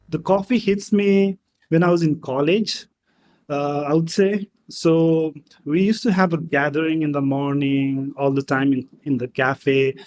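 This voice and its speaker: A man from Nepal, talking in a halting way about how he got into coffee. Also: a little high, a little mellow. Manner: slow, halting, with fillers. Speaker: guest.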